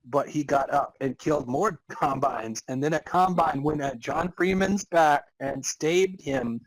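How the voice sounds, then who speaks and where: Husky man narrating with halting delivery. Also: mid-range voice, poor audio. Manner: halting, amused delivery of broken sentences. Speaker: podcast narrator and co-host. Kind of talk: comic dramatic reading of fan fiction, with casual asides.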